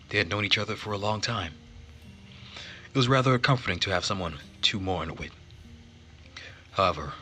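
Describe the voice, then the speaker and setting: Man, low pitch, very crisp bright tone, dramatic storytelling, game narrator, faintly noisy recording. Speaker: narrator of a visual novel playthrough. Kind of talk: dramatic narration read aloud.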